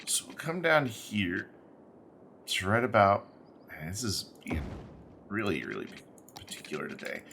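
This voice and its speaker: Stuffy congested guy, low voice but kinda bright edge, just musing out loud while he plays, lots of restarts. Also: sing-song, creaky, a little hiss or hum. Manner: unhurried, musing, self-correcting. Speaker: gaming channel host. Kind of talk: let's play commentary, thinking aloud while building.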